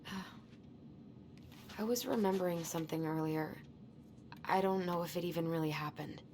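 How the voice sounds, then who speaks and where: A woman playing a guilt-ridden young woman in a naturalistic video game drama, halting, emotional and earnest. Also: fairly low pitch, a little mellow, a little hiss or hum, natural voice. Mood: drained, subdued. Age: young adult.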